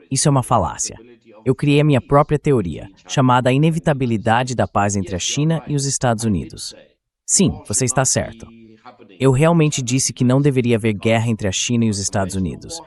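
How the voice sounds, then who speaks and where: A man's voice: medium pitch, a little mellow. A man with a Brazilian accent speaking as a dubbed interview guest, giving political commentary in an emphatic, didactic manner.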